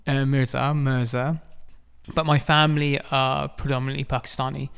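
A middle-aged man from England, a guest artist in a casual interview, talking in a halting way with many restarts and frequent chuckles. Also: mid-range voice, flat delivery, gravelly, a bit bright, clean tone.

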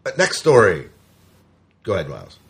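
Husky, low-voiced man hosting casually. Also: huge pitch swings, normal speed, a bit bright. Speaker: podcast host. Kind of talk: casual sci-fi news chat.

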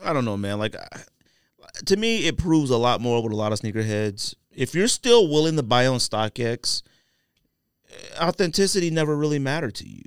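Clear-voiced American man chatting casually. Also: medium pitch, big pitch swings, normal speed, no echo, clean studio sound. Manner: slangy, opinionated. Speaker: sneaker podcast host. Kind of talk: casual podcast chat.

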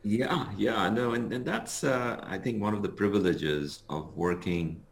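A middle-aged man from India speaks as an interview guest, his voice very deep and low. He explains his points in a measured way, leaning on "you know" and "um" as he thinks over a call. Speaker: guest author and consultant. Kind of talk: interview answers. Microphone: remote call recording.

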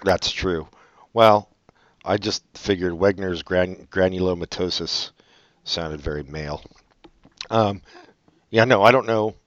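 Sarcastic low-voiced man, dull dry sound. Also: measured pace, pauses often, no echo. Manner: sarcastic, profane, jokey. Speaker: podcast co-host. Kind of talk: casual chat, reading advice letters.

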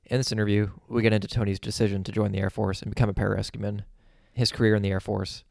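A man hosting a podcast, reading a measured introduction and asking interview questions. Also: lowish voice, level tone, vocal fry, a little mellow, dry recording, studio quality. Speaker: podcast host.

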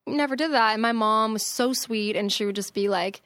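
A woman's voice: fairly high pitch, brisk, a bit bright, no room sound, clean studio sound. A young woman talking casually as a podcast guest, chatty, with lots of fillers and laughs. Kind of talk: casual conversation.

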